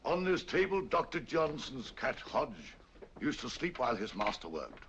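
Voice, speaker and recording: An actor playing a pompous, chatty museum tour guide in 1940s film style, theatrical and sing-song. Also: male voice, mid-range voice, big pitch swings, normal speed, fairly bright tone. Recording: dull lo-fi 1940s film soundtrack with faint room noise.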